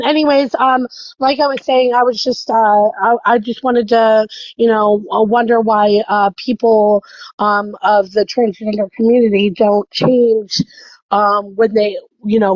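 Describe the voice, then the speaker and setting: A woman's voice: medium pitch, dry recording, highs cut off. A woman in her thirties calling in to a livestream, earnest and hesitant, with many ums. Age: 30s. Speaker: caller. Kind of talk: casual call-in chat.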